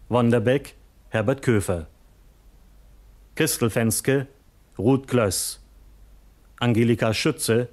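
A man reading cast and credits as a formal, deliberate 1970s radio announcer. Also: mid-range voice.

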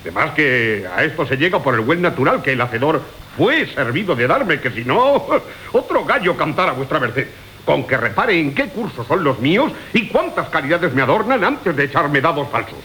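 Wily braggart, declamatory period radio farce, mock-polite, highly animated delivery, faint tape hiss. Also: male voice, normal speed. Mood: indignant boasting. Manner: florid, mock-courteous, declamatory. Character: boastful, wily swaggering rogue of old Seville. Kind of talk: theatrical radio play acting of classical comedy. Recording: hissy old radio recording.

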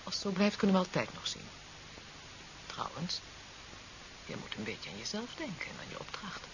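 Devoted, stubborn, very expressive wife. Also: female voice, on the low side, normal speed. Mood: reassuring. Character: devoted, stubborn wife, evasive about her frailty. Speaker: radio drama actor. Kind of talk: 1970s Dutch radio drama acting. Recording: dull, hissy 1970s radio recording.

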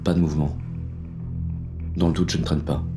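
An actor playing a wary police inspector on a burglary, narrating in a terse, tense inner monologue for an audio drama. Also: male voice, a bass voice, flat delivery, normal speed, over music. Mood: wary, focused.

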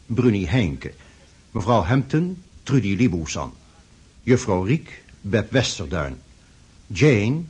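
A man's voice: on the low side, husky. A man reading the closing credits of a Dutch radio play in a formal scripted announcement.

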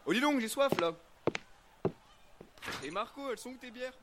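A man's voice: extremely high pitch, animated, moderate pace, background noise. A man playing a brash, freeloading friend in a comedy audio drama, pushy and careless in his slangy complaints. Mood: pushy, impatient. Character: brash, freeloading male friend who barges in.

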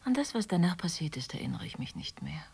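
A pensive woman with quiet reproach for an old lover. Her voice is lowish and husky, often sinking toward a whisper, with lively swings in pitch. It is intimate radio drama, pointed and softly ironic. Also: fast, full character voice. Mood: wistful recollection. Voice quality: husky, breathy, often dropping to near whisper. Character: wistful, gently reproachful woman, former lover of a married man. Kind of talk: German radio play dialogue.

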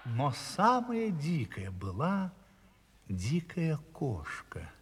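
A man telling a fairy tale to children theatrically, savouring his words with a breathy, hushed delivery. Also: a little high, huge pitch swings, very slow, big-room sound, heavy background noise, heavily acted. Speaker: storyteller.

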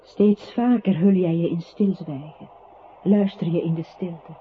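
An actress playing a narrating voice in a literary radio play, observing a composer who withdraws into silence. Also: female voice, fairly low pitch, measured pace, natural voice. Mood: sombre. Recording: muffled, noisy old radio recording.

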